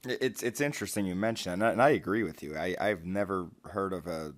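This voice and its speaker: Legislator from the Pittsburgh area, low voice, halting questions at a hearing. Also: male voice. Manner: halting, repeats words when starting phrases. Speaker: state legislator asking questions.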